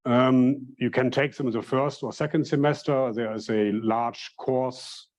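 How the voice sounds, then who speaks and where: A man who directs a study program, welcoming new students in a friendly, halting way with many restarts. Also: medium pitch, steady tone, a little mellow. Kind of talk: casual welcome talk.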